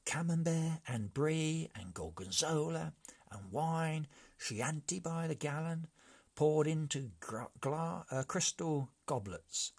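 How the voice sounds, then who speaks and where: Eager storybook brigand, played by a male reader: raised pitch, husky edge, coaxing and inventive. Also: animated, slow pace, a little mellow, full character voice. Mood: enthusiastic. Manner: enthusiastic, coaxing. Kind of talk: storybook character voice.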